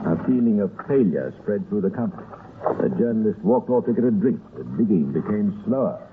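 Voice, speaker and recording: A man giving scripted narration for an old-time radio crime story in a measured storytelling manner. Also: fairly low pitch, narrow, tinny audio. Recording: thin, noisy old radio recording.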